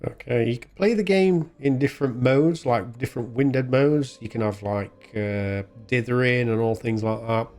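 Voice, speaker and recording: Man, mid-range pitch, retro game host, casual commentary, chatty, rambling, informal. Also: normal speed, hardly any pauses, darkish tone. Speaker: host of a retro gaming channel. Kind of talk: casual off-the-cuff gameplay commentary.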